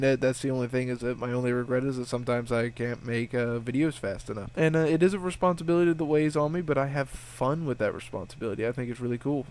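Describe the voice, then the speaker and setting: A young man with a sniffly, slightly stuffed-up voice, casually answering viewer questions in a rambling, laid-back way with lots of swearing and restarts. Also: mid-range voice. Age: young adult. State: sniffly with a sore throat. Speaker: video game walkthrough channel host.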